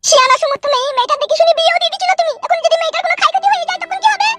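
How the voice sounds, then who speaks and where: A woman acts out a nagging cartoon mother with a rural West Bengal accent. She argues back indignantly in a piercingly high, racing voice, over loud music. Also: racing. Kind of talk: comic cartoon dub.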